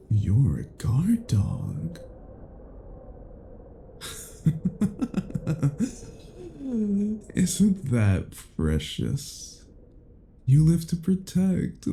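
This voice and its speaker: A man playing a cruel, haughty vampire in a dramatic roleplay, speaking in a deliberate, menacing and condescending way. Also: mid-range voice, dark tone, a little hiss or hum, heavily acted. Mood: mocking, amused. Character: cruel, haughty male vampire toying with his captive. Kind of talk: dramatic roleplay audio acting.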